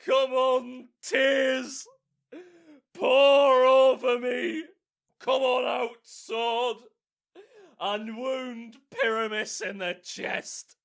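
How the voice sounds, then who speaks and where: An actor playing a hammy amateur who declaims as a tragic lover, overwrought and melodramatic, in a comic audio drama. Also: male voice, very high voice, fairly even intonation, extremely slow, gravelly, fairly bright tone, exaggerated voice. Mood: anguished, melodramatic. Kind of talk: modernized Shakespeare audio drama comedy.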